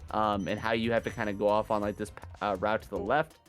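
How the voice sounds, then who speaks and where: A man commentating a live gaming marathon in a casual, chatty, self-deprecating way, his voice hoarse from days of yelling. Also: on the low side, little pitch movement, fast talker, soft background music.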